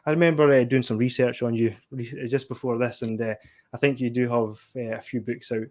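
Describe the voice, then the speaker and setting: A man's voice: mid-range voice, fairly even intonation, a little fast, a little mellow. A man hosting an interview, chatty, repeating words as he frames questions. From Scotland. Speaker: podcast host. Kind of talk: casual interview chat.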